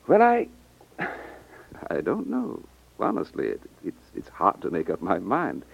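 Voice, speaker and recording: A smooth but hesitant customer in a 1950s radio drama. His low voice swings widely in pitch as he politely stumbles and repeats himself. The old recording sounds dull. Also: wildly swooping pitch, a little hiss or hum, heavily acted. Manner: halting, polite, repeats words.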